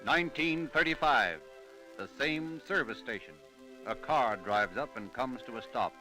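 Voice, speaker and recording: A man's voice: mid-range voice, lively intonation, unhurried, music in the background. A man giving terse, solemn, scripted scene-setting narration in an old-time radio drama.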